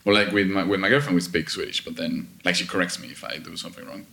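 Casting: male, deep voice, casual anecdotal storyteller who reenacts conversations. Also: quick pace, gravelly, sharp tone.

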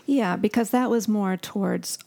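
A woman's voice: medium pitch, unhurried, creaky, dark tone. A woman hosting a podcast in a conversational, affirming way. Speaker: host. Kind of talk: casual chat.

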